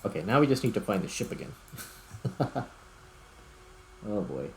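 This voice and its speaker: Low-voiced man doing casual gameplay commentary. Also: very animated, normal speed. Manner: thinks aloud in short fragments. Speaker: gaming YouTuber, host. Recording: clear background noise.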